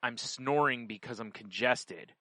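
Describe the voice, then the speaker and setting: Guy podcast host just rambling stories, bright punchy voice, dry room no echo. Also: medium pitch, a little slow. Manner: rambling, conversational, quotes himself and others. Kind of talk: casual chat, personal anecdotes.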